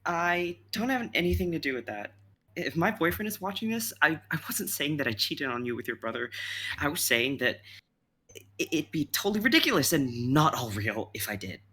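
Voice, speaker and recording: Woman as a flustered, husky-voiced contestant. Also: low-pitched, very animated, normal speed, bright, forceful tone, full character voice. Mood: flustered, defensive. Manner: stammering, rambling. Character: flustered, defensive game show contestant making excuses. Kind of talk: comedy sketch table read.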